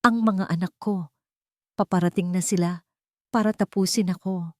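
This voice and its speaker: A woman's voice: lowish voice, steady tone, normal speed, a little mellow, no echo, studio quality, natural voice. A woman playing a frail, frightened old mother, pleading and bitter, in an audio drama. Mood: fearful.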